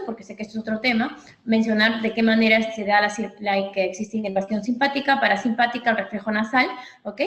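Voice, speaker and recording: Female doctor, teaching tone, fairly high voice, frequent "ok?" check-ins, roomy reverb. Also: a bit bright. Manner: didactic, repeats points, checks in with "¿ok?". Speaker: physician lecturer. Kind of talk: medical training lecture. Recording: echoey room.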